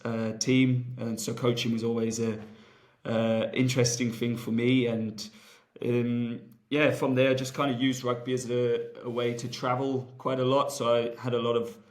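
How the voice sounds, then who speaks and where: A man, a rugby coach, answering interview questions in a relaxed, rambling way, with many fillers and restarts. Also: mid-range voice. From Wales.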